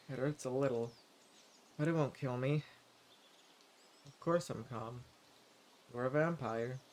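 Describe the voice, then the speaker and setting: A mild, level-headed male adventurer, acted by a man in an audio roleplay, with a mid-pitched voice. He speaks gently and haltingly, straight to the listener, over faint forest ambience. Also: slight background noise, close to an everyday voice.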